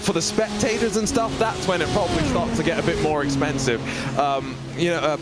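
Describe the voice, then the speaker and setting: A man's voice: fairly high pitch, fairly quick, loud music behind the voice. A man from Singapore giving live commentary on a sim race, fluent and polished, mixing play-by-play with stories.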